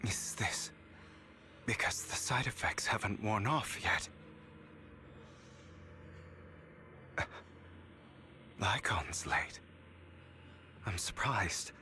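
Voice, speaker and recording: A proud, theatrical young man, speaking slowly at a medium pitch. He is measured and self-assured, a dramatic game hero with a bitter past, with faint music behind him. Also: lively intonation, pushed and bright, in a whisper, heavily acted. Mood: puzzled. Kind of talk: dramatic video game voice acting.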